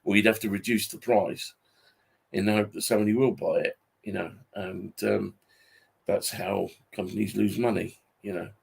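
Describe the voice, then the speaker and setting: A British man in his forties talking casually and off the cuff in a halting, repetitive, grumbling way, sounding tired. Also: lowish voice, steady tone, gravelly, slightly rough. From Britain. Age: 40s. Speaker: YouTube channel host. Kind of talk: casual unscripted monologue.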